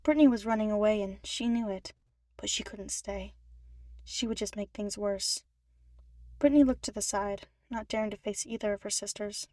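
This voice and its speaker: A woman narrates a piece of fiction as a scripted reading. Her voice sits at a middle pitch, recorded close and dry with little room sound. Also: fairly even intonation, normal speed, mellow, no room sound. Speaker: podfic narrator.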